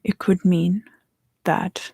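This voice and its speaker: A woman giving a soft-spoken, partly whispered ASMR tarot reading in a breathy, gentle, unhurried way, pausing between short phrases close to the microphone. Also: lowish voice, little pitch movement, dry recording. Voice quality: breathy, hushed. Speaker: tarot reader hosting an ASMR video.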